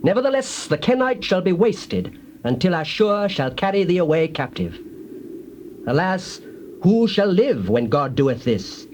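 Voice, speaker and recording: An actor playing a solemn, defiant prophet in a dramatized audio Bible, declaiming grand oracles like incantations. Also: male voice, high voice, sing-song, a little slow, darkish tone. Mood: solemn, prophetic. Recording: hissy old cassette tape.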